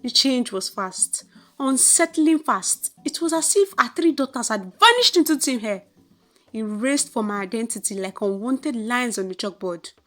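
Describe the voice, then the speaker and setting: A woman with a Nigerian accent narrating a folktale in an expressive, theatrical, scripted storytelling style. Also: on the high side, moderate pace.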